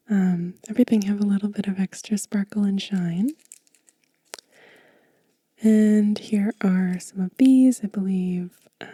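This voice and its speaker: A woman giving a gentle, informal ASMR show and tell in a breathy, soft whisper, unhurried and close to the microphone. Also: mid-range voice, no room sound. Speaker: ASMR channel host.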